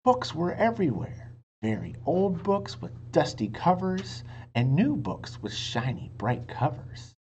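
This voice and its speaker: A woman with a very low voice reads a storybook for children at a slow pace. Her delivery is instructive and expressive, and the recording sounds a little muffled. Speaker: read-aloud teacher for children. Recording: muffled sound with faint noise.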